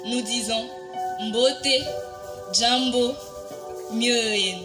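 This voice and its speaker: A woman reciting a poem in a dramatic spoken-word style, solemn and declamatory, with deliberate pauses. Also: fairly high pitch, steady tone. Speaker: poetry reciter. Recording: music bed under the voice. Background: music.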